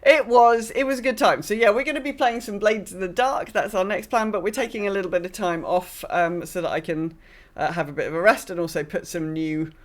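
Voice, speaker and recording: A woman's voice: medium pitch, a little fast. A woman hosting a podcast in casual, chatty conversation, with a giggly, conversational manner. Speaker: podcast host and game master.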